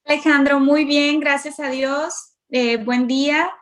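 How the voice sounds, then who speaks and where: Northern mexican lady telling her own story on a call, talks really slow with pauses, highish voice. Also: fairly even intonation, a bit bright. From Matamoros, northern Mexico. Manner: hesitant, pausing. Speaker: interview guest. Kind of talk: personal testimony, conversational storytelling. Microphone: video call.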